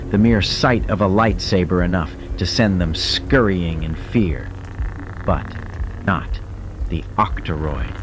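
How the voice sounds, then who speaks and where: Male narrator, very low register, dramatic storytelling over clearly audible effects. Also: dull audio, background noise. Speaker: audiobook narrator.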